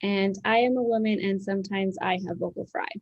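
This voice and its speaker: Young female student presenter, clear scripted read, muffled lo-fi recording. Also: mid-range voice, fairly even intonation, brisk. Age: young adult. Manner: clear, explanatory reading. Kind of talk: scripted educational narration. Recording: dull lo-fi sound.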